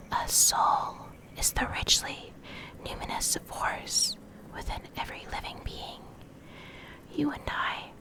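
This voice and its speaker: Woman, hushed breathy whisper, unhurried ASMR reading, short deliberate phrases. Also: low-quality recording. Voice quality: soft, breathy unvoiced whisper. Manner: slow, deliberate, pausing between short phrases. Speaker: ASMR creator reading game lore.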